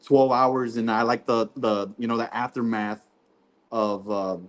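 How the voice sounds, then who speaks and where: A man, a barbecue pitmaster guest, talking in a relaxed, rambling way and saying "you know what I mean" often. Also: mid-range voice, a little slow. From Texas. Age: 20s. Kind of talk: casual podcast interview.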